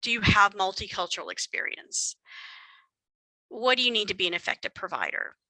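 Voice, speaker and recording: A woman with a Texan accent presenting a workshop in an easy, chatty way, with lots of fillers and self-deprecating asides. Her voice is very bright and forward, recorded close and dry with no room sound. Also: medium pitch, brisk, dry recording, rough recording. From Texas. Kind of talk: conversational lecture with slides.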